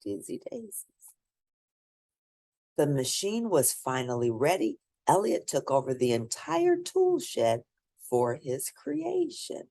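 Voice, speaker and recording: A woman reading a children's picture book aloud, expressively, with theatrical, drawn-out emphasis for young listeners. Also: deep voice, sing-song, mellow, no room sound, clean studio sound. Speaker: storybook reader.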